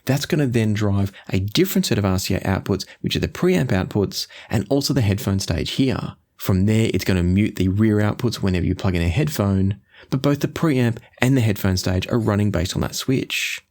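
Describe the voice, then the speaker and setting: A man hosting an audio gear review, explaining things in a measured, orderly way. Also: fairly low pitch, lively intonation, a little mellow. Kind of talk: product review to camera.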